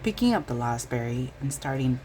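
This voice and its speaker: A woman reads a storybook for children. Her voice is unusually low for a woman and moves in animated swoops as she narrates. Faint noise can be heard behind her. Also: brisk, poor audio. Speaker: bedtime story reader. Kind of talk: expressive children's storybook reading.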